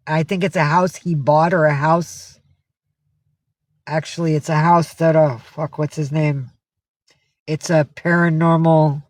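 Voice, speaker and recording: A man chatting on a live stream, drawing his words out slowly with many fillers and restarts. His voice sits a little high for a man, and the sound is close and dry, with no room behind it. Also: no echo. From San Francisco Bay Area. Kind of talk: casual live-stream chat reading viewer comments.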